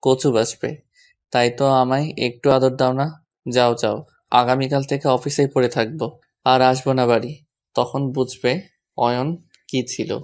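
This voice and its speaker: A man's voice: medium pitch, steady tone, a little slow, long pauses, close to an everyday voice. A man playing a devoted, earnest young husband in a romantic audio drama. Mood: teasing.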